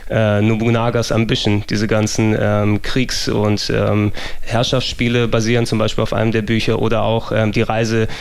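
A man's voice: on the low side, completely flat delivery, normal speed, vocal fry, hardly any pauses, smooth, no echo. A German man chatting casually as a podcast host, rambling with many fillers and restarts. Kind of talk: casual gaming podcast chat.

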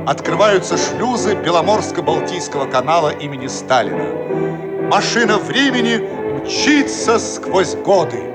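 Male narrator, high voice, declamatory radio drama style, solemn announcements, loud music behind. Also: very animated, moderate pace.

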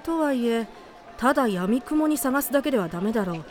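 女性声優が演じる 男っぽい口調の辛口女教師. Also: female voice, on the high side, lively intonation, fairly quick, darkish tone, soft background music, close to an everyday voice. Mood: brisk, commanding. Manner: mannish phrasing, wry, commanding. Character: brusque, confident adult female teacher with dry sarcasm. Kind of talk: anime audio drama acting.

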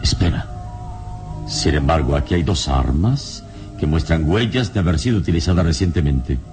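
An actor playing a calm, erudite adventurer hero in an old-time radio drama, speaking in a measured, deliberate, didactic way. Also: male voice, very deep voice, over music. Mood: watchful.

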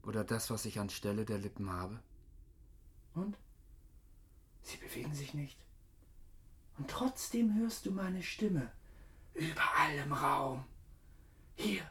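An actor playing a menacing, lovesick masked madman in a radio drama, theatrical and swinging from whispers to high calls. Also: animated, takes it slowly, long pauses, fairly bright tone. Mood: playful, eerie. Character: deranged masked phantom, theatrical, menacing, lovesick male ventriloquist.

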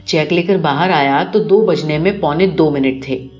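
A woman reading a short story aloud in an expressive, scripted narration style. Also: low voice. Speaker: audiobook narrator. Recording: muffled, roomy recording with music behind. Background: music.

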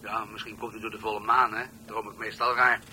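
A man's voice: fairly low pitch, little pitch movement, fairly quick, pushed and bright, slight background noise. An actor playing a dreamy, eccentric old senior police officer in a radio play, musing as he talks. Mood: musing, wondering. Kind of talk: Dutch radio play acting.

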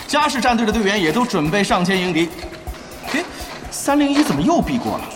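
A man playing an excitable, emphatic esports play-by-play commentator in an audio drama, prone to dramatic exclamations. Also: high-pitched, brisk, roomy sound, over music. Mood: curious, engaged. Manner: emphatic, exclamatory, building to shouts.

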